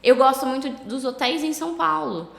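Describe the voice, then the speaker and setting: A Brazilian woman with a slight cold, a travel agency owner chatting casually as a podcast guest, relaxed and full of fillers. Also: sits high, brisk, vocal fry. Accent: Brazilian Portuguese. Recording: echoey room with long reverb.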